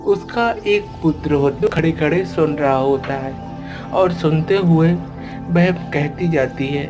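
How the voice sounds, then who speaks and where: Slow-paced woman storyteller. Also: deep voice, over music. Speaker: narrator. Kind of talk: cartoon storytelling narration.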